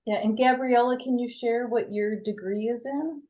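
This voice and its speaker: A woman's voice: fairly high pitch, normal speed, mellow. A woman hosting a committee meeting on a video call, calling on each member by name. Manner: friendly, calls on people by name. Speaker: meeting host.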